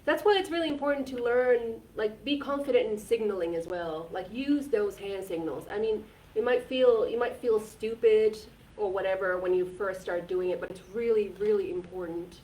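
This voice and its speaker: A woman's voice: mid-range voice, moderate pace, a little mellow. A woman from Finland, co-hosting a casual live chat in an earnest, talkative way, with plenty of "like" and restarts. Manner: earnest, chatty, with frequent "like" and restarts. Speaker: co-host. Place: community repair centre. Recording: distant mic with faint background noise.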